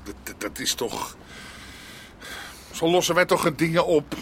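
An actor playing a stubborn, principled, ageing Amsterdam underworld boss in a radio drama, blunt and halting, with an Amsterdam accent. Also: male voice, on the high side, animated, a little hiss or hum. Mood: brooding. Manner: blunt, halting, repeating words. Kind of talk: naturalistic radio drama dialogue.

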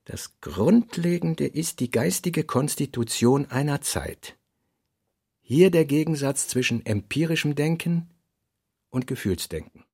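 An actor playing a brooding middle-aged novelist in a literary radio play, deliberate and terse, with a husky voice. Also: male voice, a little high, lively intonation, darkish tone, no room sound, clean studio sound, exaggerated voice. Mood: self-questioning. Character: brooding middle-aged male novelist reading out his working notes.

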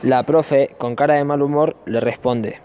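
A man's voice: mid-range voice, fairly even intonation, unhurried, a crowd in the background. A man with an Argentine accent narrating a scripted amateur radio play. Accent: Argentine Spanish. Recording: dull, lo-fi amateur recording.

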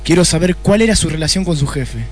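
An actor with an Argentine accent playing a rude, sardonic, hard-drinking private detective in a comic noir radio play. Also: male voice, fairly high pitch, fast talker, a little hiss or hum, close to an everyday voice. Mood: knowing, measured. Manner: brusque, sardonic.

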